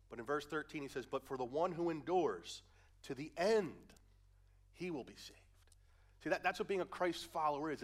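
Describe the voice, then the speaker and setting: A male pastor preaching a conversational sermon through a head cold, asking his listeners questions as he reads and explains verses. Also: fairly high pitch. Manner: conversational, asks the audience questions.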